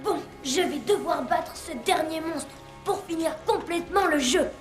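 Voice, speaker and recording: A woman voicing a plucky, defiant young boy hero in a cartoon, excitable and earnest. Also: very high voice, moderate pace. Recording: roomy echo, faint music underneath.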